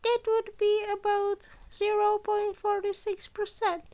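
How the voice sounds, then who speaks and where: A small, clever animal headmaster as a woman narrator puts him on speaks in a very high voice. The delivery is formal and measured, with the calm of a calculating storybook mastermind. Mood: matter-of-fact. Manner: formal, measured, deliberate speech. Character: small genius animal school principal, calm, calculating, grinning.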